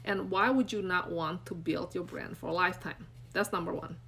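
A woman's voice: on the low side, fairly even intonation, moderate pace, gravelly, fairly bright tone. Indonesian-accented woman in her 40s, chatty live stream off her phone, lots of ums and you-knows, faint hum behind. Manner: rambling, filler-heavy, earnest and encouraging. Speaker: brand coach hosting a live stream. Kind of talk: unscripted live-stream talk to viewers. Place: small room indoors. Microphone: phone camera at arm's length.

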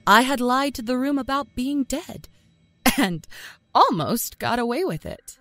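Wry, animated small-town Southern storyteller. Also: female voice, high-pitched, a little slow, no echo, faint music behind. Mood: sly, confiding. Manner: wry, folksy, confiding storyteller. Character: sly small-town Southern woman, a self-confessed professional liar. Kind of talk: dramatic first-person horror story narration. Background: musical score.

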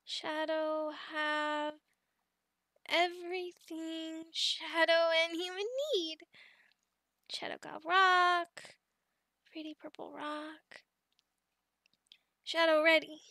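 A woman voicing a young adult female goblin in an ASMR roleplay, childlike, sweet, devoted and timid, speaking in short halting phrases of broken third-person speech. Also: very high-pitched, flat delivery, bright, forceful tone, no echo, clean studio sound, heavily acted. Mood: eager, proud.

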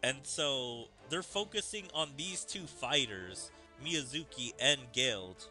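Bright, lively male game streamer. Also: medium pitch, takes it slowly, faint music behind, rough recording. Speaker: gaming streamer. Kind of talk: casual game commentary and read-aloud of dialogue.

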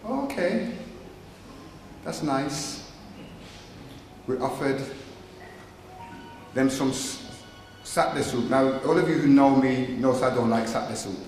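A man's voice: mid-range voice, animated, slow, long pauses, a little mellow. A man in his fifties leading a funeral service as pastor, telling stories and teasing the congregation with good humor. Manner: jovial storytelling, teasing the congregation. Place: large church hall with congregation. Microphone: microphone on a stand at the pulpit. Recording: echoey hall, mic from a distance, music.

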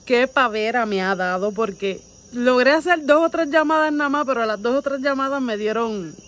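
A woman in her thirties with a Puerto Rican accent chats casually and playfully in slang, outdoors into a phone. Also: medium pitch. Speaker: vlogger making prank calls. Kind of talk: casual chat to camera and on video calls. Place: outdoor porch at night. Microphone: phone at close range. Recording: noisy outdoor night recording with insects.

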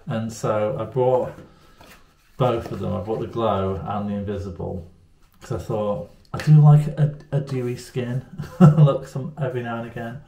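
A man's voice: medium pitch, moderate pace, vocal fry, warm tone. A man, chatting to camera at home in a rambling, self-mocking way. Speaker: vlogger. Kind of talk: casual talk to camera. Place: indoors at home, small room. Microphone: camera at arm's length. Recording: roomy echo.

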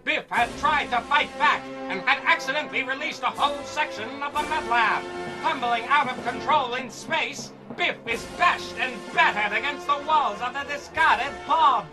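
A woman's voice: high-pitched, normal speed, music in the background, natural voice, rough recording. A woman playing a melodramatic announcer, narrating an old-time radio cliffhanger serial. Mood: grandly suspenseful.

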